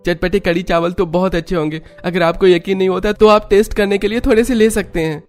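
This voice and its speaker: Man as a high-voiced earnest young husband. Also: steady tone, brisk, close to an everyday voice. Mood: assuring. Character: earnest, supportive young husband, hardworking vendor.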